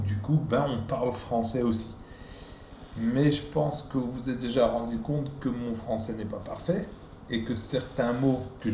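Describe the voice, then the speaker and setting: A man's voice: medium pitch, mellow, echoey room, slight background noise. A Flemish man whose first language is Dutch, teasing and scolding in a mocking voice-over commentary. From Belgium.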